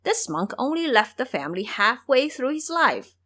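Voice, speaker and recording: Casting: a woman as a taunting male monster warlord for fantasy audio drama, bossy, with a lively pitch range. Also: moderate pace, exaggerated voice. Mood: mocking. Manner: commanding, taunting. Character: commanding, mocking male monster king with a sword.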